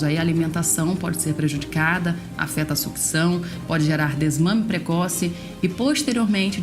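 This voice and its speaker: A woman news presenter with a Brazilian accent speaks in a fairly low voice. She explains items in an informal presenting style, with clear music running behind her.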